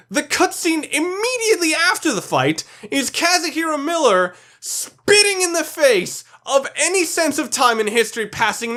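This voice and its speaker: A man's voice: extremely high pitch, sharp tone. Blunt sweary male countdown reviewer. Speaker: video reviewer narrating a countdown. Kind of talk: opinionated scripted commentary.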